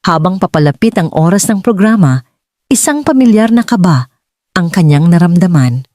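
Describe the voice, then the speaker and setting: A Filipino woman narrating a scripted dramatic story in measured, earnest phrases. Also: on the low side, very animated, dark tone, dry recording, studio quality. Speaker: audiobook narrator.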